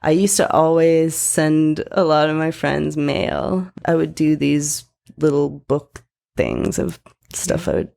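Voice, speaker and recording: A woman's voice: sits low, animated, slow, creaky, warm tone. A young woman who grew up in Thailand and on Prince Edward Island, a guest artist chatting casually on a podcast and laughing often between thoughts.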